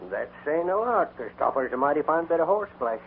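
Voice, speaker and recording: An actor playing a folksy, nervous but loyal cowboy sidekick in a 1950s radio western, with a hesitant, drawn-out delivery. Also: male voice, on the high side, very animated. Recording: noisy, tinny old radio transcription.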